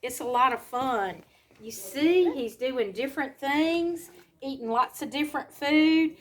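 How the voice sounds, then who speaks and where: A woman reads a storybook aloud to children, pausing to explain simply and ask them questions. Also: high-pitched. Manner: simple explanatory asides and questions to young listeners.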